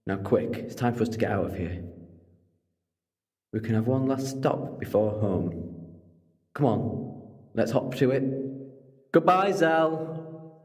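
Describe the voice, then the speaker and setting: A man playing a confident, sharp-tongued time-travelling hero in an audio drama, sardonic and self-assured. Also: medium pitch, lively intonation, takes it slowly, long pauses, breathy edge. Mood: brisk, confident. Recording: long hall-like reverb.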